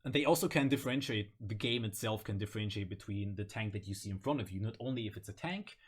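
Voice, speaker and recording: A man's voice: on the low side, fast, husky. A man hosting a gaming video, explaining things in casual conversation. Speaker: host of gaming video series.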